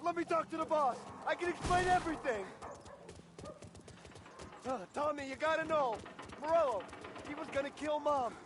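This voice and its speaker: A man's voice: extremely high pitch, normal speed, long pauses, background noise. An actor playing a male mobster who has betrayed his boss and is frantically begging for his life in a game cutscene. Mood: pleading. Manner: desperate, pleading, shouting from a distance.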